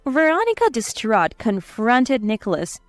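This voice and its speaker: A woman's voice: a little high, wildly swooping pitch, measured pace, no echo, soft background music. A woman reading scripted dramatic story narration.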